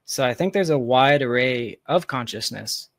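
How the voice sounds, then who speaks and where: A man's voice: medium pitch, normal speed, a bit bright, no echo, studio quality. A man in a casual, reflective conversation, thinking aloud in an informal, philosophical way. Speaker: podcast guest, tech founder.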